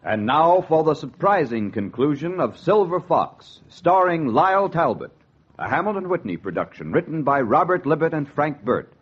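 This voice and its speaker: A man's voice: a little high, very animated, moderate pace, husky. A man announcing a 1940s radio drama in an ominous, theatrical style. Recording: dull vintage 1940s radio recording. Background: organ music.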